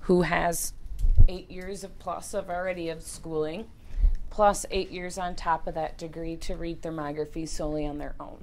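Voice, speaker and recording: A woman's voice: fairly low pitch, fairly even intonation, normal speed, darkish tone, slight background noise. A woman who works as a thermography technician, giving a casual, slightly hesitant talk to a live audience. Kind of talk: informal seminar talk. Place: meeting room with a live audience.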